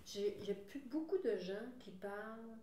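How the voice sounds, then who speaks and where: Older quebec woman interviewing, chatty and relaxed, sounds far off in an echoey room. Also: medium pitch. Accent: Quebec French. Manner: chatty, relaxed, speaks slowly when giving details. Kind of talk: casual interview conversation. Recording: roomy, distant mic.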